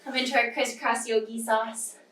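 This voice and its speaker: High-voiced young woman leading kids' storytime yoga. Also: level tone, moderate pace, a bit bright, slight background noise. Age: young adult. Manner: simple, encouraging instructions addressed to young children. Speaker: children's yoga storytime instructor. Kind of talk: guided kids' yoga lesson with read-aloud storytelling. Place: small indoor room with wooden floor. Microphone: camera across the room. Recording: roomy echo, distant microphone.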